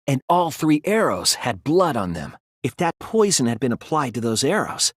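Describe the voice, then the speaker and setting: A man voicing an earnest, thoughtful teenage boy detective in an English video game dub, reasoning deliberately through his lines. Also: mid-range voice, big pitch swings, breathy edge, no room sound, studio quality.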